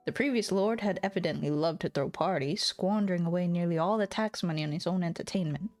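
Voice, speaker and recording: A woman voicing a weary, embittered, ambitious lord who narrates the story in a measured noir manner. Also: lowish voice, a little mellow, soft background music, lightly acted. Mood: weary, resolute. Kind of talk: scripted dramatic narration.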